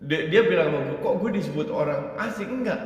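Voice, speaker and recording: A man's voice: fairly high pitch, animated, fast, muffled recording. A man with an Indonesian accent casually discussing football as a pundit, explaining and repeating words for emphasis. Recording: very echoey, reverberant room.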